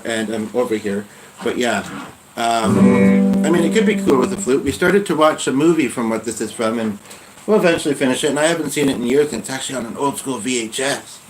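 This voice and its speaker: A man chatting casually at home between songs, rambling and trailing off in a soft voice. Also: medium pitch, a little fast, very noisy. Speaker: host and singer. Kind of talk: casual chat during a music session. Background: loud guitar and piano music.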